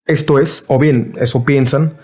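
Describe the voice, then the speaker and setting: A man giving a measured, formal scripted reading of a philosophy lecture. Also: medium pitch, fairly even intonation, mellow, slightly rough.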